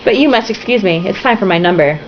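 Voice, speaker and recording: A woman's voice: medium pitch, expressive, fast talker, a little hiss or hum, natural voice. A woman voicing a dramatic female stage star with a grand, affected manner, in a storybook reading, on a slightly muffled home recording.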